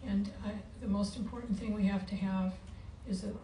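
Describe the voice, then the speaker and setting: Woman, candidate at a forum; mellow, even delivery, halting, recorded at a distance. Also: medium pitch. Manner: halting, repeats words. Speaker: school board candidate at a forum. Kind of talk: unscripted public forum answer. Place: meeting board room. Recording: echoey board room, distant mic, background noise.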